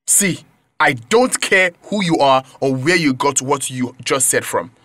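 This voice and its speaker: A man's voice: medium pitch, expressive, unhurried, bright, forceful tone, no room sound. A man playing a betrayed, hot-tempered young friend with a Nigerian accent, confrontational and exclamatory in a radio drama. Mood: angry.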